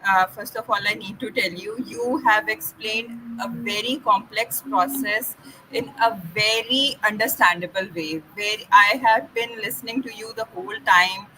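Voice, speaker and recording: Woman host on a video call, sharp bright tone, polite and formal, faint hiss behind. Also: mid-range voice, a little slow, poor audio. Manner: courteous and formal, with many fillers. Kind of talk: formal thank-you as live stream host. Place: online live stream.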